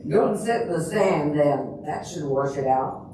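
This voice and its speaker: A dry, chatty older lady played by a woman in a readers theater talks in a deep voice as the long-suffering best friend. The sound is distant and roomy, with clear noise behind her. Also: lively intonation, measured pace, dark tone. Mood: put out. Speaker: amateur readers theater performer. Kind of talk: comedic stage reading. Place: large echoey room before an audience. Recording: echoey hall from far off, noisy.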